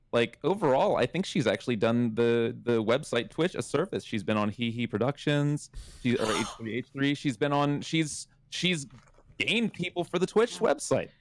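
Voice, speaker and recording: A man's voice: mid-range voice, no echo. A man arguing bluntly on a group call, swearing as he pushes his point. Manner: blunt, argumentative, swears freely. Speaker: streamer debating on a panel. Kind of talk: heated casual debate.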